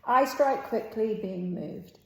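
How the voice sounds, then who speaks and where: A woman playing a boastful, quarrelsome male servant in an old stage play, in a halting declaimed delivery that moves between muttering and projecting. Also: a little high, fairly bright tone. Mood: swaggering. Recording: roomy, echoing sound.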